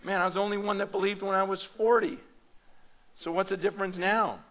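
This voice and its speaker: A man preaching a conference sermon in a loose, animated, conversational way, full of fillers and restarts. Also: high-pitched, a little fast. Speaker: pastor. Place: large hall or meeting room before an audience.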